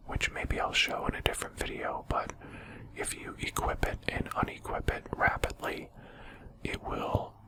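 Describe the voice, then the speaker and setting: Someone whispering soft, unhurried gameplay commentary in a breathy, conversational ASMR style. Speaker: video game streamer and commentator.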